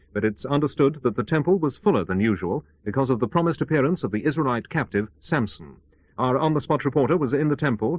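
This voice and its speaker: This is a man acting as a radio news anchor in a dramatized bulletin. He reads formally and seriously at a medium pitch, composed throughout, in a dry studio-like sound. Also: no echo, natural voice. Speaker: newsreader.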